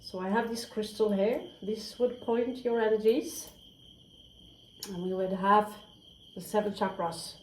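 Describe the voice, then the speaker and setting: A woman's voice: medium pitch, steady tone, unhurried, a little hiss or hum. Woman, tarot reader, candid, chatty, swears a lot, whispered asides, roomy echo, faint crickets behind. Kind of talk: casual spoken tarot reading.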